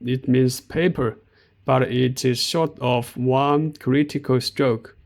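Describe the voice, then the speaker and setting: Chinese-accented male vlogger, middle-aged, unhurried and gravelly, outdoors. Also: mid-range voice, fairly even intonation, darkish tone. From China. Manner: slow and halting, with many fillers. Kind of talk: walking tour narration. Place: outdoor park.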